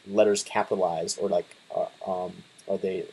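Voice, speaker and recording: A man in his twenties casually talking through a coding tutorial, relaxed, with lots of fillers and restarts. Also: low voice, moderate pace, vocal fry, breathy edge, low-quality recording. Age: 20s. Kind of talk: casual screen-share tutorial.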